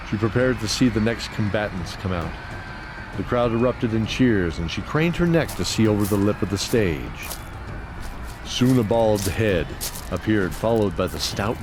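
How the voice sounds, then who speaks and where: A man narrating a scripted fantasy audio drama in a measured, descriptive storytelling manner. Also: fairly low pitch. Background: music.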